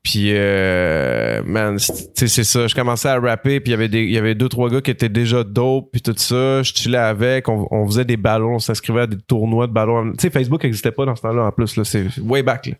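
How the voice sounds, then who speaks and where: A man's voice: on the low side, fairly quick, few pauses. Slangy man in his thirties. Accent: Quebec French. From France. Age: 30s. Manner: rambling, slangy, full of fillers. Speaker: guest rapper. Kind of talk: casual podcast chat.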